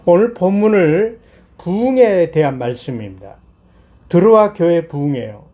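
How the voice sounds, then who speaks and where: High-pitched Korean pastor, slightly hoarse. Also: male voice, lively intonation, unhurried, lo-fi sound. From South Korea. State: slightly hoarse from a throat cold. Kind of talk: Sunday sermon.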